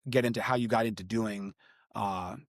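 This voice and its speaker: A man's voice: on the low side, steady tone, normal speed, dry recording, studio quality. A man hosting a podcast, talking casually and hesitantly, correcting himself as he rambles. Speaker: podcast host. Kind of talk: casual interview.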